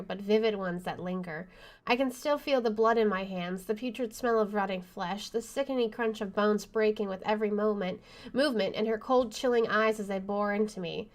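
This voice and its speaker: A woman reading a horror visual novel aloud as she plays, in dramatic first-person narration. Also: mid-range voice. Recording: faint game music behind the voice. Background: faint game music.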